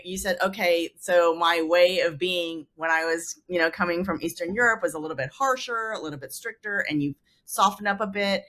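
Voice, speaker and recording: Low-voiced woman hosting an interview. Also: pushed and bright. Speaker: podcast host, interviewer. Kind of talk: conversational interviewing.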